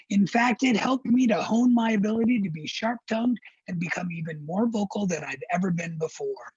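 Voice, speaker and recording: A middle-aged man with a raspy, breathy voice from throat surgery, telling a personal story in a wry, candid, measured way. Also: high voice, darkish tone, dry recording. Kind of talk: personal storytelling to a virtual audience. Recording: dry, dull lo-fi recording.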